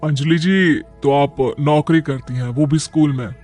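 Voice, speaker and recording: A man playing an arrogant, money-minded suitor in his mid-thirties in an animated moral story, condescending and prying. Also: fairly high pitch, measured pace, soft background music, lightly acted.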